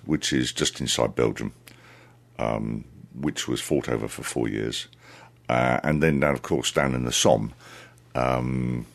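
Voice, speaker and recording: A man answering interview questions as a tour guide, speaking in a deliberate, halting, conversational way. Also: a bass voice, gravelly. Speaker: tour guide, radio guest.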